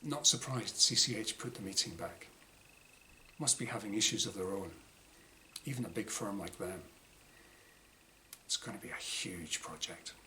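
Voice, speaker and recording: A man reading his own first-person short story dramatically, in clipped diary-like phrases with pauses. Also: on the low side, sharp tone. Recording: clearly noisy room ambience.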